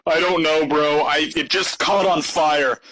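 Slow male voice, forward and bright, sheepish cartoon skeleton, played by a man, hesitant, casual. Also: a little high, fairly even intonation, rough recording. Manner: hesitant, drawn-out casual delivery.

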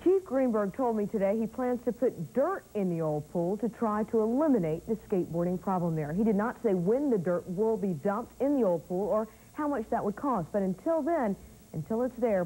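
A woman's voice: medium pitch, warm tone, slightly rough, poor audio. A woman reading scripted television news as an anchor and reporter.

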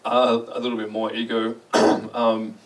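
A young man with an Australian accent talks casually to a conference crowd, joking at his own expense and asking questions. His voice sits at a middle pitch. The recording places him a little back from the mic in a large dark lecture hall. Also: monotone, unhurried, far from the mic. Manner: chatty, self-deprecating, asks the audience questions. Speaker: conference speaker.

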